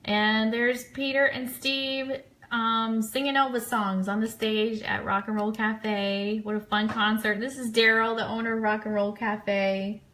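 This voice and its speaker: A woman's voice: a little high, flat delivery, measured pace, fairly bright tone. A woman giving casual live commentary on trip photos as host of an online fan show, chatty and enthusiastic.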